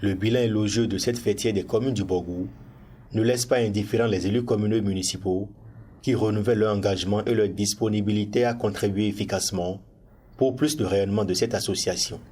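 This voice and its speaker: A man's voice: fairly low pitch, steady tone, brisk, darkish tone, husky. A man with a Beninese accent reading a scripted television news report as its reporter.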